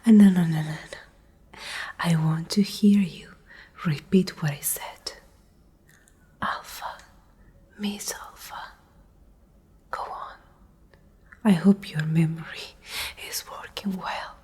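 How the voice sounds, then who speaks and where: A domineering female Alpha, acted out by a woman speaks in a very breathy whisper for a dark ASMR roleplay. She is slow and deliberate, with a mellow tone and cold, condescending menace. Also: lowish voice, fairly even intonation, exaggerated voice. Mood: mocking, stern. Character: domineering, menacing female pack Alpha, coldly possessive captor.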